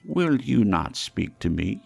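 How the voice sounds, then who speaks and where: A man's voice: fairly low pitch, big pitch swings, slow, creaky, slightly rough, soft background music, voice pushed up, full character voice. A man voicing a frightened, penitent old male miser in a storybook, pleading solemnly and urgently. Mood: earnest pleading. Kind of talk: dramatised storybook reading.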